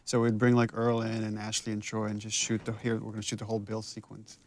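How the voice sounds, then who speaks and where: A man's voice: on the low side, completely flat delivery, quick pace. A man giving casual director's commentary, reflective and explanatory, as a game's writer.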